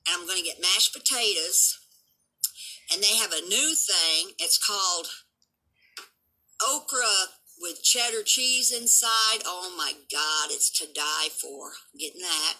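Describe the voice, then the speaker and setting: A woman in her seventies with a rough voice, ranting defiantly and boastfully at her critics in an unscripted vlog. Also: lowish voice, sharp tone, poor audio. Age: 70s. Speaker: vlogger and dog breeder. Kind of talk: unscripted rant to camera.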